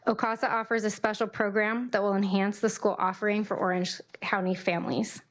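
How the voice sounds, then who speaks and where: A woman's voice: medium pitch, flat delivery, fairly quick. A woman, giving a prepared, formal statement of support at a public meeting. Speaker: parent speaking as public commenter.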